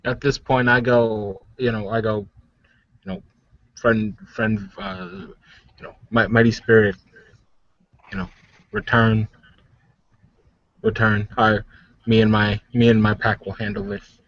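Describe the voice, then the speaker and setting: A man chatting casually as a player in a tabletop game, slangy and joking, with halting phrasing. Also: on the low side, little pitch movement, dry recording, highs cut off.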